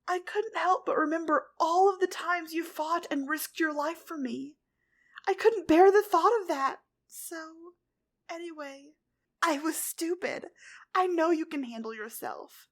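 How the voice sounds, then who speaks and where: Lady reading as a young heroine girl, high voice, cracks with feeling, slow and emotional. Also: husky, full character voice. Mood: tearful. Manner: emotional, voice rising high and loud. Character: young heroine, fierce yet tender and tearful.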